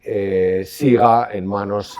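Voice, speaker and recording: A man's voice: on the low side, steady tone, slow pace, background noise. A man speaking as an archaeologist in a documentary interview, explaining the site in an academic, explanatory way.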